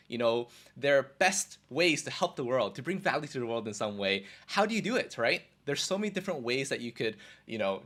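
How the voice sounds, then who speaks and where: An upbeat man hosts a podcast over a video call, his voice sitting at an ordinary middle pitch. He talks in an eager, chatty flow, often tagging his sentences with little checks and fillers. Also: fairly bright tone. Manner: upbeat, chatty, many fillers like "right?" and "you know". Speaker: podcast host. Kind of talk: enthusiastic interview introduction.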